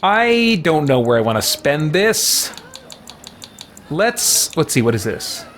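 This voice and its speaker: A man hosting a game stream, casually commenting on play and answering chat in a musing, halting way as he thinks aloud. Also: fairly high pitch, big pitch swings, a bit bright, slight background noise. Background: music.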